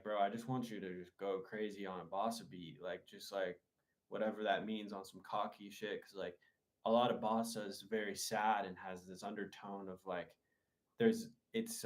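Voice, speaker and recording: A man talking as a producer guest in a casual interview, rambling with many likes and restarts. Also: on the low side.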